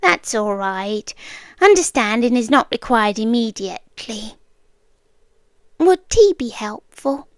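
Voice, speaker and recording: High, unhurried British woman acting an obsessive stalker girlfriend. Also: lively intonation, no room sound, studio quality. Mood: soft, coaxing. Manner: measured, deliberate phrasing, often dropping into whispers. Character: obsessive, eerily calm stalker girlfriend, softly possessive. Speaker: ASMR roleplay performer. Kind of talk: ASMR roleplay, intimate monologue to the listener. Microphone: close dry microphone.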